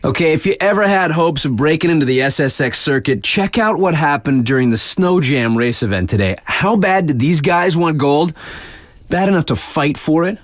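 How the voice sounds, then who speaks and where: A man acts as a video game radio DJ for a snowboard resort, with a fairly high male voice that swings freely up and down. He is laid-back and wry, delivering slangy, conversational bulletins. The sound is dry and studio-close. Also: animated, moderate pace, no room sound, natural voice. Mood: upbeat, wry. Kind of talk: casual in-game radio announcements.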